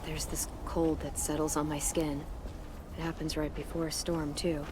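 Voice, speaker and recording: A woman's voice: low-pitched, little pitch movement, normal speed, noisy background, close to an everyday voice. An actress playing a tough, weary, protective teenage girl survivor in a naturalistic video game drama, guarded and matter-of-fact. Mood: weary, reassuring.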